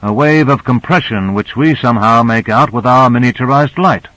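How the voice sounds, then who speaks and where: A man's voice: mid-range voice, normal speed, fairly bright tone, dry recording, close to an everyday voice, low-quality recording. A man voicing a knowing, assured male scientist who explains things in an audiobook. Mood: explaining, intrigued.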